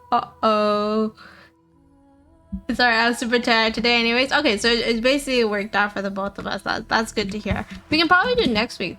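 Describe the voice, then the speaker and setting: A woman's voice: high voice, fairly bright tone. A woman live-streaming a game, chatting casually in a playful, giggly way on a close mic.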